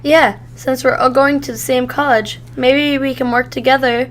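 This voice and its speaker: Upbeat young superheroine, acted by a woman, high voice, confident, faint hum. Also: fairly even intonation, moderate pace, natural voice. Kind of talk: amateur audio drama acting.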